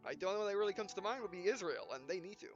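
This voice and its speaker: A woman doing let's play commentary, reading game dialogue aloud in a halting way and often correcting herself. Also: medium pitch, lively intonation. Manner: halting, stumbling read-aloud with frequent self-corrections. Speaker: gaming video host. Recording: dull, muffled lo-fi microphone. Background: game music.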